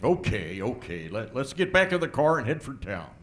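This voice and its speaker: An actor playing a flustered, blustering small-town sheriff with a folksy manner in a comic radio play. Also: male voice, mid-range voice, big pitch swings, moderate pace, natural voice. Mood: commanding. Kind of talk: comic radio play performed before an audience.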